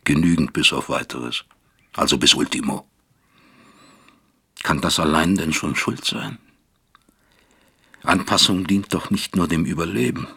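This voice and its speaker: A middle-aged father weighed down by guilt in a radio drama monologue. His voice is extremely deep, with heavy fry and a husky rasp. He broods bitterly, questioning himself in halting phrases. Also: sharp tone, heavily acted. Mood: self-accusing. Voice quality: gravelly, husky.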